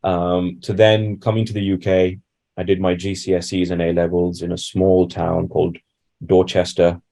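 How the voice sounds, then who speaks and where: A man's voice: sits low, level tone, normal speed, creaky, warm tone. A man from India giving reflective, conversational answers as a doctor being interviewed. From Bangalore, India.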